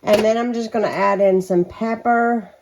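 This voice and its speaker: A woman, chatting casually to viewers while cooking at home, her voice slightly hoarse and her talk rambling with many ums. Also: mid-range voice, steady tone, moderate pace, darkish tone, a little hiss or hum, poor audio. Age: 40s. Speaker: home vlogger. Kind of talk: casual catch-up and cooking chat.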